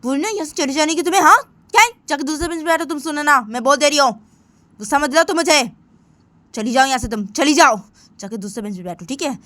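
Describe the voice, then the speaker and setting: A woman's voice: sits high, quick pace, no echo, pitched above the performer's own voice. A woman voicing Simran, a furious young student. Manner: shouting, bossy.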